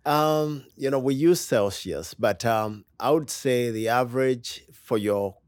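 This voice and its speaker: A man from Uganda, a pastor as a podcast guest, talking in an upbeat, warm way and laughing easily in a studio. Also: mid-range voice, measured pace, no echo. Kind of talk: interview conversation.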